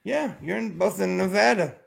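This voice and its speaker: A man with a raspy voice and an American accent, bantering casually and profanely as a livestream host. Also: high voice, moderate pace.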